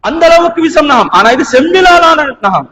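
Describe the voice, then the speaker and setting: High-pitched Sri Lankan Tamil male preacher, echoing hall. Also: sing-song, normal speed, bright, forceful tone. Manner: emphatic, dramatic, with rhetorical questions and repeated phrases. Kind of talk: sermon with storytelling. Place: large mosque hall. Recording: reverberant hall.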